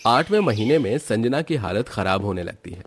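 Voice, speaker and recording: A man's voice: on the low side, sing-song, moderate pace, breathy edge, no echo. A man narrating a scripted cartoon moral story as its storyteller.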